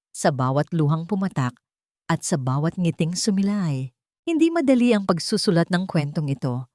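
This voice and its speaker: A woman's voice: low voice, darkish tone, no room sound, clean studio sound. A woman reading a scripted love story as an audiobook narrator.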